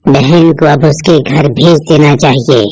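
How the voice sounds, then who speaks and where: A man's voice: on the high side, fairly even intonation, measured pace, a little mellow, low-quality recording. Man playing a commanding shape-shifting snake brother. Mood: reassuring. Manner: commanding, protective.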